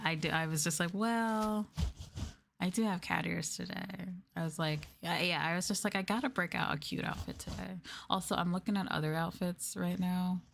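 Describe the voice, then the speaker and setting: Female ASMR streamer: gentle, casual soft talk with fry, near-whisper at times, crinkly trigger sounds and faint noise behind. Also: low-pitched. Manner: soft-spoken, often dropping into whispers. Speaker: VTuber streamer hosting an ASMR livestream. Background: crinkling plastic and tapping trigger sounds.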